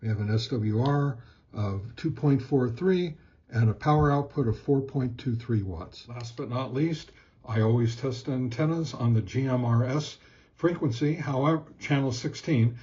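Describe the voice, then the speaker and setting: Man, halting plain-spoken gear reviewer. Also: mid-range voice, mellow, dull audio. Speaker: host reviewing radio gear. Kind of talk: casual product test narration.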